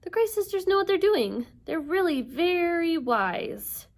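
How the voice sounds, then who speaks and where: Woman voicing an urgent, high-pitched worried girl. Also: big pitch swings, measured pace, exaggerated voice. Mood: uneasy reassurance. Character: anxious, urgent young girl companion, bossy under pressure.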